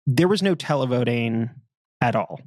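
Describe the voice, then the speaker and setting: A man co-hosting a podcast, dropping deadpan jokes in casual chat and explaining history plainly. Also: medium pitch, moderate pace, no echo, clean studio sound. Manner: deadpan joking. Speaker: podcast co-host.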